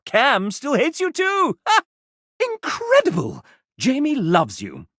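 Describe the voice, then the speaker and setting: A man voicing a sassy, arch gentleman who narrates a dating video game in a theatrical, mock-genteel and sardonic manner. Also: very high voice, wildly swooping pitch, slow, no room sound, studio quality, full character voice. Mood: mock-regretful, cutting, then delighted, grandiose.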